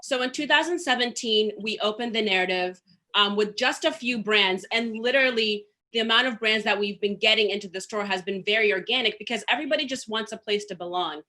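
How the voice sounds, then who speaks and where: A woman's voice: mid-range voice, fairly quick, bright, forceful tone. A woman from Zimbabwe speaking as a panel guest on a video call, earnest and storytelling, with many fillers. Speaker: panel guest, store founder. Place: home room with shelves, indoors. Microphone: laptop webcam on a video call.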